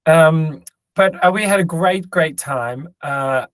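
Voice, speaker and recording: Hoarse man, worn-out voice. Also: a little high, takes it slowly, fairly bright tone, no room sound. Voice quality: tired, raspy. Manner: rambling, joking, lots of ums and restarts. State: hoarse, lost voice. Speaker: podcast co-host. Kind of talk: casual chat.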